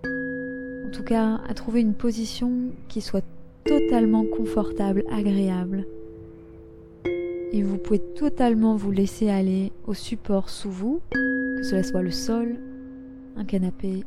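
A woman guiding a yoga nidra relaxation, speaking gently and soothingly in unhurried instructions with long pauses. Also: medium pitch, fairly even intonation. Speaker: yoga nidra meditation guide. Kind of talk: guided relaxation meditation. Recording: loud meditation music under the voice. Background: loud instrumental relaxation music.

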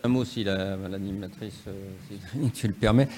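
Male discussion participant, fairly deep and relaxed tone, speaking spontaneously. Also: moderate pace, slight background noise. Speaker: participant in a policy workshop. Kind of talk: spontaneous interjection at a public discussion.

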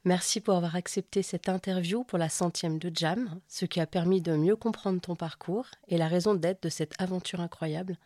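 Woman, interviewer, low voice, encouraging, dry close recording. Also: fairly even intonation, normal speed, few pauses, dry recording. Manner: warm encouraging interviewer, brief affirmations. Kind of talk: casual interview chat.

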